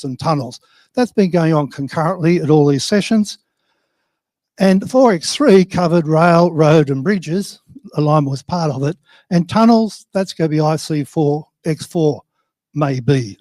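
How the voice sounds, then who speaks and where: Older Australian man, high-pitched and mellow. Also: dry recording. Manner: wry, self-deprecating, plain-spoken. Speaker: conference speaker on infrastructure. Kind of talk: informal conference presentation.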